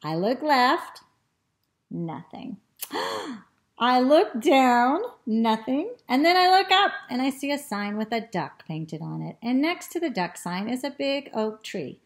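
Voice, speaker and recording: A woman voicing an excitable, greedy male dog in a children's storybook, with exclamations and drawn-out words. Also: sits high, pitched above the performer's own voice, exaggerated voice. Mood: excited, busy. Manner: exclamatory, drawn-out words and short repeated sniffing phrases. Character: excitable, greedy male dog narrating his food adventures. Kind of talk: expressive children's storybook reading.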